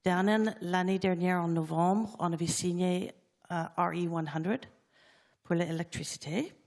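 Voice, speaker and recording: Panelist, a woman with a lowish voice who mixes into hesitant French. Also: fairly even intonation, a little mellow. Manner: halting French mixed with English words. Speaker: conference panelist. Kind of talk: conference panel talk.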